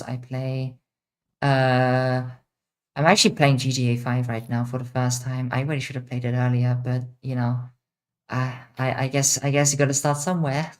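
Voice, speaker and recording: Blunt, profane young streamer woman, mixed accent. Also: very deep voice. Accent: mixed international. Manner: blunt and profane, answering chat questions. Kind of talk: casual livestream Q&A.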